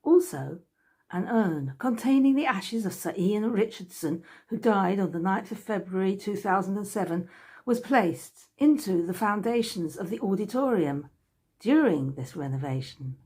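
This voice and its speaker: A woman reads prose aloud as an author would from their own book. Her delivery is measured and story-like, with pitch that moves in a lively way. The voice has a husky, less polished grain at a medium height. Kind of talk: scripted narration, reading aloud.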